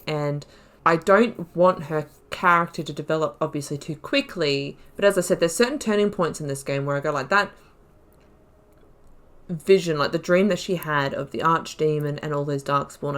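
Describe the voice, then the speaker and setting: A woman giving casual, reflective, rambling commentary as she hosts a video game playthrough. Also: deep voice. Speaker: gaming video host.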